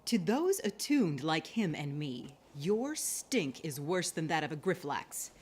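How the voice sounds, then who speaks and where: A woman playing a suspicious, world-weary shopkeeper with a husky voice and pointed, dramatic delivery. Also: low voice, sing-song, a little slow, a little mellow, a little hiss or hum. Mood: contemptuous.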